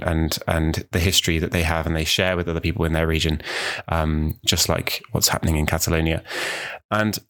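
A young man from New Zealand talks through his podcast introduction, meandering and thinking aloud with plenty of ums and restarts. His voice sits very low in pitch. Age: young adult. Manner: relaxed and rambling, many ums and restarts. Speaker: podcast host, language student. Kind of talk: casual solo podcast monologue.

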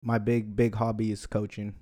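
A man in his twenties co-hosting a casual podcast, pausing with uhs and bantering with laughs. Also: fairly low pitch, completely flat delivery, warm tone. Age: 20s. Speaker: podcast co-host. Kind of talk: casual chat.

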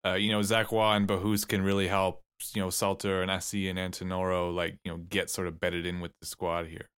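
A man's voice: low-pitched, flat delivery, fairly quick, fairly bright tone, smooth, no room sound, clean studio sound. A man co-hosting a sports podcast in an informal, slangy, conversational way. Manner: informal and slangy, with frequent "like" and "you know". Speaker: podcast co-host and soccer fan. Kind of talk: casual sports podcast chat.

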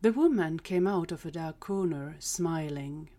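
A woman's voice: deep voice, measured pace, dark tone. A woman reading scripted third-person narration for an audio drama.

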